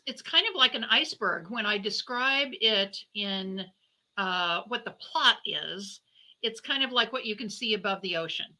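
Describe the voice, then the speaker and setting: A woman's voice: medium pitch, pushed and bright, poor audio. A woman novelist answering interview questions in a conversational, explanatory way.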